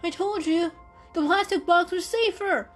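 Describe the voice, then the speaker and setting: A woman's voice: extremely high pitch, a little slow, soft background music, pitched above the performer's own voice. A woman voicing a frightened, stubborn young male kitten with a whiny delivery in an animal storybook reading. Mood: panicked squealing. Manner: whiny, protesting. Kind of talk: children's animal story character voice.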